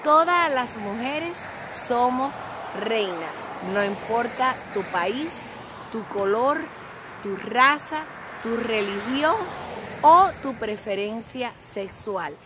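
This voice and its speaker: A woman's voice: a little high, animated, slow pace, noisy background. A middle-aged Cuban-born woman vlogging outdoors into a phone held at arm's length, with a theatrical, self-praising, sing-song manner. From Cuba. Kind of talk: casual self-filmed vlog. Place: outdoors, by a grassy lot.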